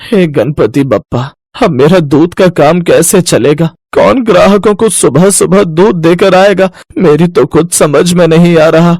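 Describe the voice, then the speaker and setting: A man voicing a humble, devout village milkman in an earnest, plaintive way for an animated moral story. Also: on the high side, a little slow, hardly any pauses, no room sound. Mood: worried.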